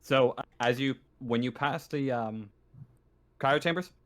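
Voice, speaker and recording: A man narrating an improvised tabletop roleplaying game as game master, describing things in a casual, halting way. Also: mid-range voice.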